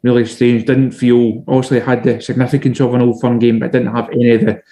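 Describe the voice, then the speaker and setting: A Scottish man, a journalist guest, answering in a measured, steady way with frequent restarts. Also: mid-range voice. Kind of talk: casual podcast interview.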